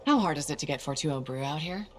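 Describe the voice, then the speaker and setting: Wry female adventurer lead, low register, understated, faint music behind. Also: expressive, fast. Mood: wry, playful. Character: wry, level-headed female freelance adventurer hero. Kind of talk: naturalistic video game acting.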